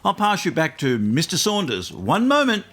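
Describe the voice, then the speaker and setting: Man playing a high-voiced, swooping bluffing astrologer. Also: huge pitch swings, moderate pace, noisy background. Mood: bluffing, smooth. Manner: hesitant, improvising, stammering salesman patter. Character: bluffing male phone astrologer, smugly mystical con man. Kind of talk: comedy podcast sketch.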